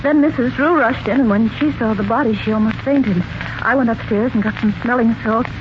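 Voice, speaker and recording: A woman's voice: a little high, a little fast, natural voice. An actress playing an anxious, frightened lady witness, hesitant and emotional in 1940s radio mystery style. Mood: frightened, defensive. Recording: noisy dull 1940s radio recording.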